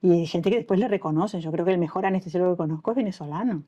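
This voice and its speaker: A middle-aged Uruguayan woman talks quickly and mellowly, with a clear Uruguayan Spanish accent. She tells her stories in a lively way and acts out the lines of the people she quotes. The audio is a little muffled and dull. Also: lowish voice. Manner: animated storytelling, acts out quoted dialogue. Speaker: anesthesiologist guest. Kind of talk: conversational interview.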